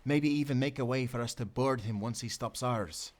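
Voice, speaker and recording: An actor playing a confident, loyal Scottish sailor who talks in jaunty nautical dialect in an audio adventure. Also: male voice, mid-range voice, normal speed, natural voice. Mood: earnest, planning. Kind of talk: cinematic audio adventure acting.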